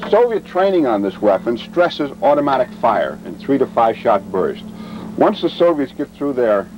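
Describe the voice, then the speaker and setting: A man giving a military training lecture as an instructor, matter-of-fact and measured, speaking in short deliberate phrases. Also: mid-range voice, sing-song, dull audio, soft background music. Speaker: weapons instructor and presenter. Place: outdoors at a weapons demonstration. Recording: vintage training film, noisy outdoor sound.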